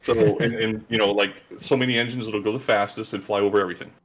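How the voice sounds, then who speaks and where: A man's voice: lowish voice, fairly even intonation, quick pace, rough recording. A man guest in a casual interview, talking earnestly and gratefully about his gaming group.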